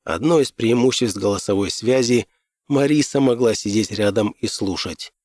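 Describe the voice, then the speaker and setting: A man's voice: on the low side, normal speed, a little mellow, no echo, clean studio sound. A man reading fiction aloud as a scripted audiobook narrator.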